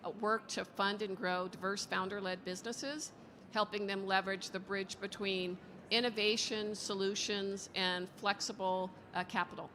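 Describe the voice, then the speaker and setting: A woman's voice: medium pitch, steady tone, moderate pace, bright, forceful tone, a little hiss or hum. A woman speaking as a founder and investor on a conference panel, polished and upbeat. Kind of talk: panel discussion. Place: conference stage in a large hall. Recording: long hall reverb, faint ambience.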